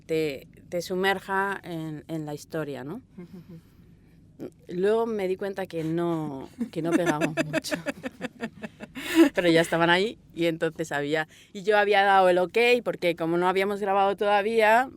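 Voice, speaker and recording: Woman with a Castilian Spanish accent, slightly low voice, expressive director chatting informally and wandering through her answers. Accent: Spanish (Spain). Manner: expressive, rambling, acts out quoted dialogue. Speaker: audio drama director being interviewed. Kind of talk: informal, anecdotal interview answers. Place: small sound booth.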